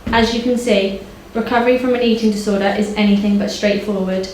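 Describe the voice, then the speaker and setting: Echoey, even-toned young woman on stage. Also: mid-range voice, a little fast. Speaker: poet at own book launch. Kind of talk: prepared speech and poetry recital. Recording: echoey hall, faint background noise.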